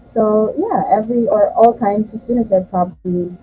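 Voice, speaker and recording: A woman's voice: medium pitch, normal speed, slightly rough, dull audio. A young woman from the Philippines whose first language is Tagalog, a guest tutor talking earnestly over a video call. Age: young adult.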